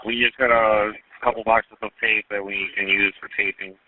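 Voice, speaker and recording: A man's voice: fairly low pitch, level tone, moderate pace. A man speaking as a police commander, giving instructions over a narrowband two-way radio. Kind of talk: police radio dispatch traffic.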